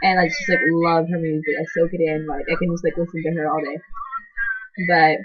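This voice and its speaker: A woman's voice: low-pitched, level tone, a little fast, slightly rough, dull audio. Chatty teen girl, lots of "like". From half Chilean, half American. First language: Spanish. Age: teens. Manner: chatty, rambling, constant "like" filler. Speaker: channel co-host introducing herself. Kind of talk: casual talking-to-camera vlog.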